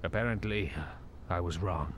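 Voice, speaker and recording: An actor playing a grave, wise Jedi master in a space-fantasy audio drama, solemn, measured and authoritative. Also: male voice, sits low, a little hiss or hum, lightly acted.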